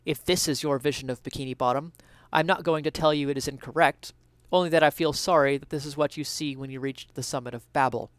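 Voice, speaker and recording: A man reading an essay aloud as a dramatic reading, with earnest, theatrical, deliberate phrasing, recorded close to the mic. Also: a little high, steady tone, no room sound. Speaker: reader of an essay.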